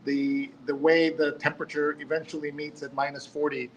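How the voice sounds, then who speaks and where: A man's voice: fairly high pitch, flat delivery, moderate pace, lo-fi sound, a little hiss or hum. A man hosting a live talk show in a casual, chatty way, drifting into asides and reading out viewer comments.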